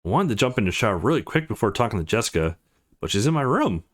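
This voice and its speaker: Man reading game dialogue with animated swings. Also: on the low side, quick pace. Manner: voices the characters' lines as he reads. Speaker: let's play host. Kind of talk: reading visual novel dialogue aloud.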